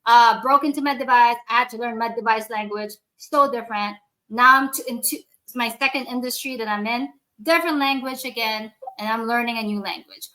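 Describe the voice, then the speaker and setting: Bright, emphatic woman host. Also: on the high side, brisk, poor audio. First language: not English. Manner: emphatic, lecturing, addresses listeners directly. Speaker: podcast host. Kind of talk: motivational podcast talk.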